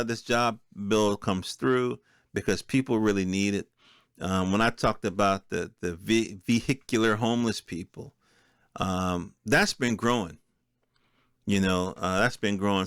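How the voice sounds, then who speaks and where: A man with a fairly low voice delivers an unscripted podcast monologue. His speech is casual and streetwise, full of colloquial turns, and the sound is very dry with hardly any room to it. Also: fairly bright tone, smooth, dry recording. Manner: streetwise, colloquial, peppered with profanity. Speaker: podcast host.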